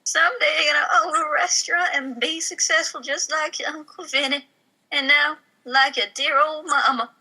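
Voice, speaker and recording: Woman playing brash, high, bright-voiced mafia mama. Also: steady tone, normal speed, poor audio. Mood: scornful, bossy. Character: brash, foul-mouthed, callous mafia-family mama. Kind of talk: comedy table read.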